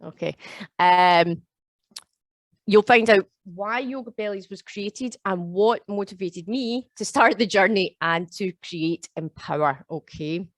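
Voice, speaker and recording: A woman's voice: medium pitch, slow, no room sound. A woman in her thirties with a Glasgow accent, leading a live online yoga teacher training and checking in often with her students. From Scotland. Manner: chatty, keeps checking in with "okay?" and "all right?". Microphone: video call.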